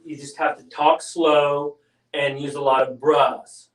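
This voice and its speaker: A man's voice: on the high side, level tone, a little slow. A man co-hosting, reading out viewer comments and joking in a goofy, self-deprecating way. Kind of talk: playful banter. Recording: roomy sound from a distance.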